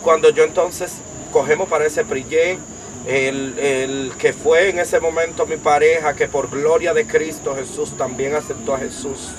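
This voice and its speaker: A man's voice: a little high, fairly even intonation, noisy background. Young Dominican man giving emphatic testimony. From Dominican Republic. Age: young adult. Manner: emphatic, rapid-fire storytelling in long lists. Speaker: interview guest. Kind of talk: personal testimony in conversation.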